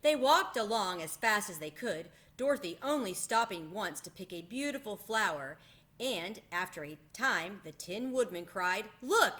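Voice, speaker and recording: A woman with a bright, forward voice reads a children's chapter book aloud. Her register is medium and her storytelling expressive. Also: medium pitch, moderate pace. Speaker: read-aloud host.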